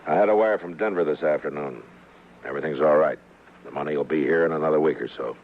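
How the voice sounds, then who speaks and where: A man's voice: rumbling low, fast, slight background noise. An American man playing a stern, weary Old West marshal in a radio western, speaking tersely and with authority. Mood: cold, stern. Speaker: radio drama lead and narrator. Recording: narrow-band old radio recording with music.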